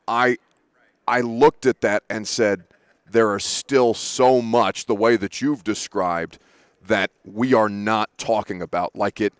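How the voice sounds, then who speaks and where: A man's voice: fairly low pitch, fairly even intonation, slow pace, clear, resonant tone, no echo. A male sports radio host interviewing guests at a live broadcast, talking eagerly with many restarts and self-corrections. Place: studio booth at a sports event.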